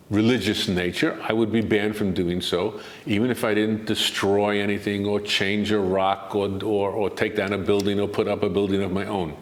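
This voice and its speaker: An older man as a guest expert in an interview, answering in a measured, argumentative way that builds to emphatic points. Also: fairly low pitch, echoey room.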